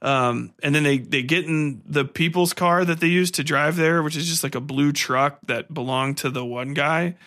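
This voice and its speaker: Bright-voiced man ranting casually, higher-pitched. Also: moderate pace, few pauses. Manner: profane, exasperated, mocking. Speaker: podcast host reviewing a film. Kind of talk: casual, rambling movie rant.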